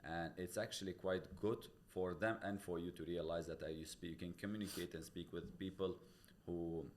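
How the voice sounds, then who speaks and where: Deep-voiced tajik guy talking english as a second language, earnest, restarts a lot, slight background hiss. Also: steady tone, moderate pace, a little mellow. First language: Pamir dialect. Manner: earnest, explains step by step with many restarts. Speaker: real estate broker, guest. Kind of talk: casual interview talk. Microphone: studio podcast mic.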